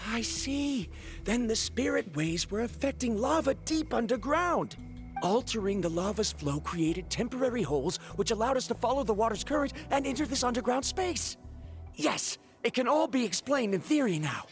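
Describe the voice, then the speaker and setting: A woman's voice: fairly high pitch, sing-song, moderate pace. A woman voicing a young, analytical, argumentative boy scholar in a video game, with precise, formal phrasing. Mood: intrigued. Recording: game music behind the voice.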